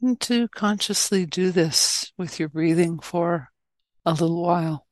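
A woman leading a guided loving-kindness meditation as a teacher, speaking gently and in measured phrases with long pauses. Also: on the low side, dry recording.

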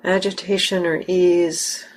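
A woman leading a guided meditation as a teacher, speaking gently and unhurriedly with long pauses. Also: fairly low pitch, flat delivery, low-quality recording.

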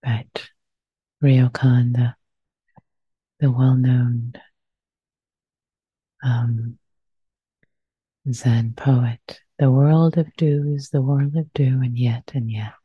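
A woman with a Persian accent, a meditation teacher speaking softly and slowly with many pauses and fillers, guiding a reflection. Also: very deep voice, fairly even intonation, breathy edge, no echo. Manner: soft-spoken, pausing, with frequent fillers. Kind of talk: guided reflection after meditation. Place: meditation center hall. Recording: muffled lo-fi recording.

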